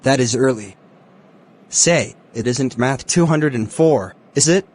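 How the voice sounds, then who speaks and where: A man playing a courteous, easygoing young film star in a scripted cartoon dialogue for English learners, speaking in a deliberate way. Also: medium pitch, a little mellow, lightly acted. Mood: friendly, casual.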